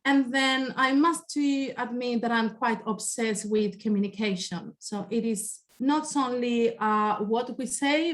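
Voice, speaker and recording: A woman in her thirties from Spain with a Spanish accent, giving a webinar talk with many fillers and restarts. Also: on the high side. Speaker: sustainability staff member presenting. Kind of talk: webinar presentation. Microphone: video call.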